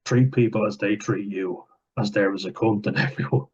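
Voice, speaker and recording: A man's voice: lowish voice, moderate pace, darkish tone, breathy edge. A young man reading out viewer comments and answering them in blunt, sweary casual chat, with frequent fillers. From Ireland. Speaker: vlogger. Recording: dull lo-fi recording.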